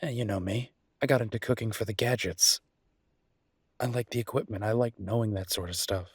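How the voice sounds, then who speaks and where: A man playing an easygoing, teasing chef boyfriend in an intimate audio roleplay, chatting with affectionate, playful exasperation in a husky voice. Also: lowish voice, a little fast, no echo, clean studio sound. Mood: enthusiastic, opinionated. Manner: conversational, playful, exasperated banter.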